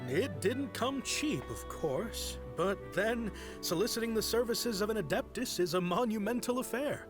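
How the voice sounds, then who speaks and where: Chatty game merchant, high voice, lively intonation, deferential, music behind. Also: male voice, moderate pace. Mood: deferential, admiring. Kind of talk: video game voice acting.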